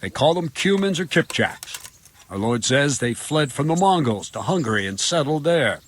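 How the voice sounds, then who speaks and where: A man's voice: fairly high pitch, very animated, normal speed, a little hiss or hum. A man playing a plainspoken, dutiful, slightly grumbling guard captain in a video game, blunt and matter-of-fact. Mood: grumbling, informative. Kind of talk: video game dialogue acting.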